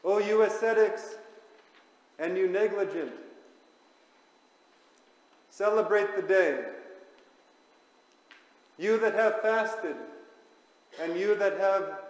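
A man, a priest, reading a homily aloud with slow, measured declamation that rises to fervent proclamation. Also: high voice. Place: large church. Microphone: far camera. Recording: echoey church from far off.

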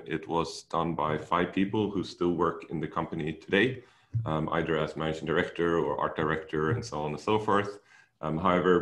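A young man with a Swedish accent giving an online seminar presentation as a technical director, relaxed and with many fillers. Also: extremely low pitch. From Sweden.

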